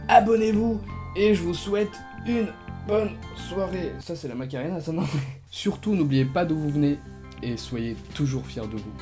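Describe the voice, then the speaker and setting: A young man speaking slangy in a raised voice, animated and self-mocking as he tells a personal story straight to camera. A soft music bed runs under his voice. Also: fairly high pitch, moderate pace. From France. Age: young adult. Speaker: YouTuber. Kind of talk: casual storytelling vlog.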